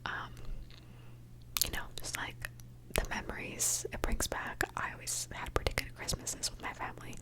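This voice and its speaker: A woman's voice: normal speed, rough recording. A young woman from Mexico, an ASMR creator, whispering a candid, chatty question-and-answer session.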